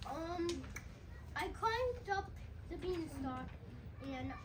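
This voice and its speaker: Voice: very high voice, animated, extremely slow, heavily acted. A child of about eight or nine playing fairy-tale characters in a mock trial, reading lines in a school play. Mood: earnest. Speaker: student performer in a school reader's theatre. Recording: distant mic, very noisy room.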